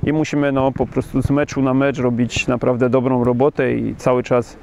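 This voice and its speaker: A man's voice: medium pitch, level tone, brisk, a little mellow. A man, a football coach giving a post-match interview. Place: outdoors on a football pitch. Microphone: lapel mic with windscreen. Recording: clear outdoor background noise.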